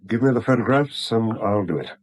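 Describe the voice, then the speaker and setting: An actor playing an anxious, cornered bank official in a 1970s radio drama, speaking tersely and hesitantly. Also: male voice, fairly low pitch, expressive, fairly quick, slight background noise, close to an everyday voice. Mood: wary. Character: anxious, cornered male bank official, conspiring under pressure.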